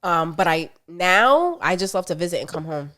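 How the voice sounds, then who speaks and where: A woman from Providence, Rhode Island, a podcast guest telling her story in an animated, casual way. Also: fairly low pitch. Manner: animated storytelling, quick bursts when excited. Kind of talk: casual interview chat.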